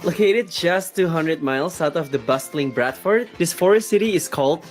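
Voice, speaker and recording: A man acting as a second narrator in a comedy video, listing jokes in a deadpan way. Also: fairly high pitch, normal speed, faint music behind.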